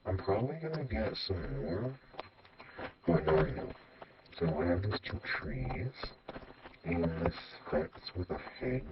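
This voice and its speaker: A man speaking through a robot voice changer, giving a casual, offhand tour of a video game house. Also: deep voice. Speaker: video host. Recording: muffled, lo-fi, loud noise and clicks.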